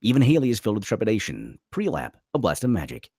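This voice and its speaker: A man's voice: fairly low pitch, huge pitch swings, fast talker, mellow, slightly rough, no room sound, clean studio sound. A man narrating a screenplay dramatically at a table read.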